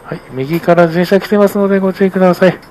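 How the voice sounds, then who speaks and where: A man's voice: sits high, steady tone, fast. A man working as a bus driver, giving polite, formulaic announcements to passengers over the onboard speakers. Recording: faint vehicle noise behind.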